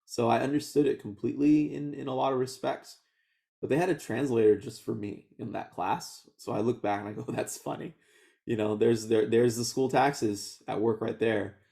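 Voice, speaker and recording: Gravelly-voiced man telling his story. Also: medium pitch, fairly even intonation, moderate pace, warm tone. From Houston, Texas. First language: Vietnamese. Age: 30s. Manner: laughs often, many restarts and fillers. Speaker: oral history interviewee. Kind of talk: relaxed conversational storytelling.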